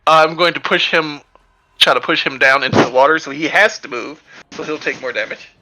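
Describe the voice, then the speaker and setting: A man's voice: on the high side, a little fast, background noise. A man playing a tabletop game over voice chat, talking casually with many fillers and hesitations. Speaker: tabletop player.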